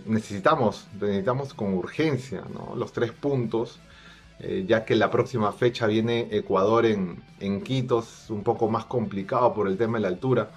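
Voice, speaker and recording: A man with a Peruvian accent giving informal football analysis to camera, measured and a little hesitant, repeating phrases. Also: fairly low pitch. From Peru. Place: plain indoor room. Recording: dull lo-fi sound. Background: music.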